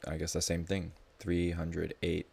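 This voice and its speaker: Very deep-voiced male math tutor. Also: monotone, a little slow, vocal fry, a little mellow, husky. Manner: informal, thinking aloud. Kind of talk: casual live tutoring, explaining problems.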